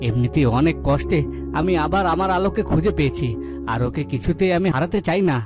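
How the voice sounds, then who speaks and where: A devoted fairy-tale king from a cartoon, acted by a man. His voice sits a little high, fretting and repeating himself with melodrama. Music plays clearly behind him. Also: expressive, fast, close to an everyday voice. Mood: worried. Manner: fretful, repetitive, dramatic.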